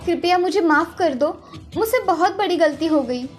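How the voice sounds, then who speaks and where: An anxious young daughter-in-law from a moral tale, played by a woman. She is meek and deferential, with a very high voice. Quiet music runs underneath. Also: expressive, moderate pace. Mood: distressed. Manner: pleading, deferential.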